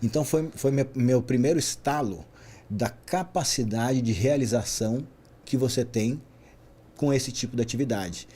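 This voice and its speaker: A Brazilian man in his forties speaks as a podcast guest, with a mellow, somewhat husky voice. He tells his story in an animated, chatty way, often checking in with a quick question. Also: medium pitch. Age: 40s. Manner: animated, frequent "né?" tags. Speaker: guest, traffic safety specialist. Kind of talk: relaxed storytelling interview.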